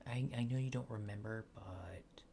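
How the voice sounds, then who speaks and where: Man, ASMR roleplay, soft murmur, near-whisper, gravelly fry, hesitant instructions, noisy recording. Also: lowish voice, moderate pace. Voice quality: soft, hushed, breathy near-whisper at times. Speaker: ASMR performer playing an examiner. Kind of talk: ASMR roleplay of a medical exam.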